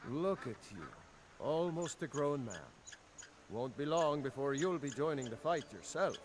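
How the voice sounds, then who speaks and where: A man voices a tired rebel soldier from a fantasy game, a devoted brother with an earnest way of telling things. His voice sits at a middling male pitch, over loud ambient noise. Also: expressive, measured pace, close to an everyday voice. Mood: reassuring. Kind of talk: video game dialogue acting.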